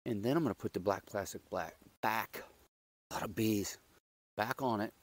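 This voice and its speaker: A man vlogging outdoors in his backyard garden on a lapel mic, his voice raspy from a loud night out as he chats in a rambling, self-deprecating way while doing chores. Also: fairly low pitch, moderate pace.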